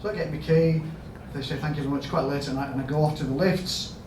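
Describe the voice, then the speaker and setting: A man with a Yorkshire accent giving a storytelling conference talk as a health service chief executive, asking the audience questions and rising to loud emphatic bursts. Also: a little high, steady tone, fast. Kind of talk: storytelling keynote speech. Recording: echoey hall, mic at a distance, background noise.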